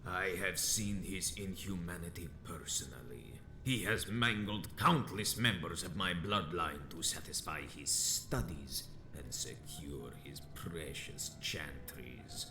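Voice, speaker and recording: A menacing old vampire elder speaks in an extremely deep, grave voice. His formal, contemptuous lines echo around him over game music, as in a dark video game. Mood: bitter, vengeful. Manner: slow, theatrical, archaic formal phrasing. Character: ancient vengeful male vampire sect elder, proud, menacing, contemptuous.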